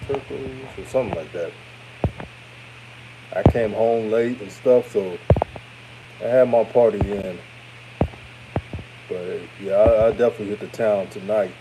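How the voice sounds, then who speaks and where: Man, 30s, deep voice that reads older, casual live-stream sports talk, noisy hum behind. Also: measured pace, creaky, pauses often. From Houston, Texas. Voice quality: deep voice that sounds older than his age. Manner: relaxed, chatty, repeats himself, trails off. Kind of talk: casual live-stream hot takes, reading chat comments. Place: his room after a game.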